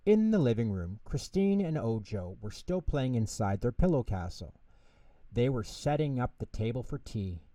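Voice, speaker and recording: Expressive man reading a bedtime story. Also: medium pitch, moderate pace, dry recording, distant mic. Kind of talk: scripted bedtime story narration.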